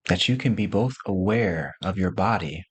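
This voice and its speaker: A man leading a guided meditation, giving gentle instructions in short, spaced phrases as a teacher. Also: deep voice, animated, normal speed, slightly rough, no room sound.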